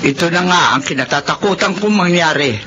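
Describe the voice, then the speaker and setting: A woman's voice: on the low side, quick pace, over music, lightly acted. A woman playing a stern, blunt older aunt giving worried advice in a Filipino radio drama. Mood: stern, reproachful. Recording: dull lo-fi audio with background noise.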